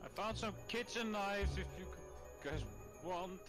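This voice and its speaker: A man's voice: high voice, a little slow, music in the background. A man playing a tabletop role-playing game, chatting casually and feeling out his moves a little hesitantly. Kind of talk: casual chat and role-play.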